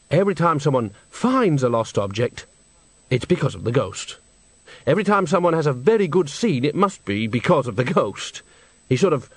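A man's voice: mid-range voice, husky, no echo, pitched above the performer's own voice. A man doing a wry, sarcastic theatre owner. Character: skeptical male theatre owner.

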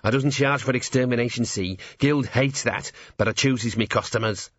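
A man voicing a tiny, cocky, belligerent gnome rat-catcher in an audiobook, with rough, slangy, combative speech. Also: medium pitch, moderate pace, a bit bright, no room sound, highs cut off, pitched above the performer's own voice, lightly acted. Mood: boastful, insistent. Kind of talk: character voice in audiobook narration.

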